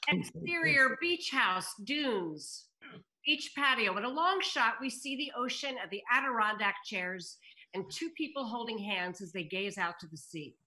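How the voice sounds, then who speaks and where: Female announcer and narrator, higher bright voice, reading stage directions over video call. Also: animated, measured pace, poor audio. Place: indoors at home on a video call. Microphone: video call microphone.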